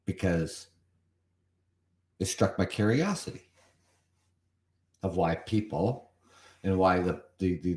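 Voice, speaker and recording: Man, from canada, podcast host, deep voice, rambling commentary, echoey room. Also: a little mellow. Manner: chatty, repeats himself, comments on what he reads. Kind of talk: solo podcast talk reading an article.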